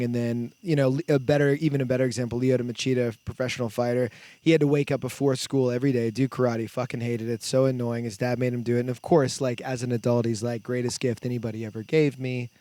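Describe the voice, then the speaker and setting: A man with a mid-range voice hosts a relaxed podcast chat. He explains his points earnestly and at length, in everyday language. The sound is close and dry. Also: medium pitch, no room sound. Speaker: podcast host. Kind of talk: casual podcast conversation.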